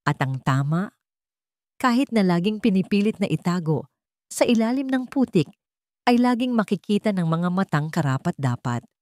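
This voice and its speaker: A woman's voice: medium pitch, sing-song, moderate pace, darkish tone, no room sound, studio quality. A woman with a Filipino accent narrating a scripted dramatic story.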